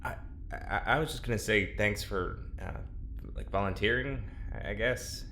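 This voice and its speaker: A halting, low-voiced test subject. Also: male voice, sharp tone, a little hiss or hum. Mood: nervous gratitude. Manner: halting, stammering, tentative, dryly sarcastic. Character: nervous, wry, well-meaning research subject. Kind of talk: naturalistic audio drama acting.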